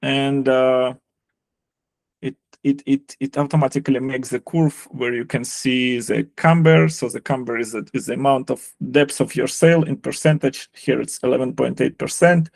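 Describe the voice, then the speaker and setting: A man presenting a live software walkthrough, halting and often repeating words as he explains. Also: mid-range voice, fairly even intonation, vocal fry, no echo. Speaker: software presenter and sailing analyst.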